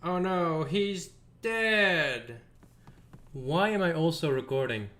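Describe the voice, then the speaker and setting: Male streamer, casual chat, fairly high voice, very animated pitch, playful, self-deprecating. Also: slow. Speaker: game streamer. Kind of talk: casual livestream chat while playing.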